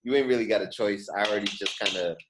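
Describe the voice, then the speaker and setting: A man's voice: on the low side, fast, very noisy. Casual American male podcast host. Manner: emphatic, repeats phrases, slangy. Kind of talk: casual chat, podcast sign-off.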